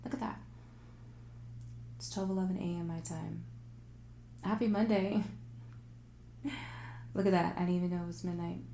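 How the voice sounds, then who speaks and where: A woman hosting a tarot livestream in casual, animated, informal talk, thinking aloud and repeating phrases for emphasis, her voice turning a little raspy. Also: fairly low pitch, normal speed, long pauses, a little hiss or hum. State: voice getting raspy. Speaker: tarot reader and livestream host.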